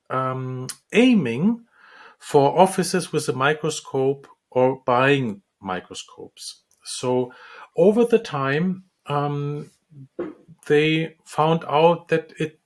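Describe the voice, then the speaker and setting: A man from the Republic, a dentist and lecturer, answering interview questions with many pauses, ums and restarts. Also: fairly high pitch. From Czech Republic. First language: Czech.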